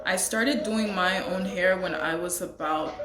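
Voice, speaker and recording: A woman's voice: on the low side, measured pace, reverberant, over music, low-quality recording. A young adult woman who grew up in the United States, a hairstylist chatting casually and laughing often. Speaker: hairstylist and co-host. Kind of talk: casual chat.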